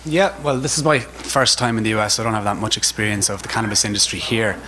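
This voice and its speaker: A man's voice: on the low side, fast talker, pushed and bright. A man with an Irish accent speaking informally and enthusiastically as a retailer on a panel, repeating himself for emphasis. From Ireland. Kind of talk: informal spoken presentation. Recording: noticeable background noise.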